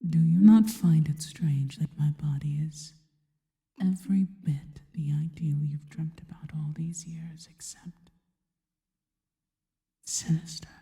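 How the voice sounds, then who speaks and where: A woman playing a seductive, sinister spider-woman in an ASMR roleplay, whispering breathily and slowly with intimate, formal, adoring deliberation. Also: low voice, warm tone. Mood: reverent, teasing. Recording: long reverberant echo.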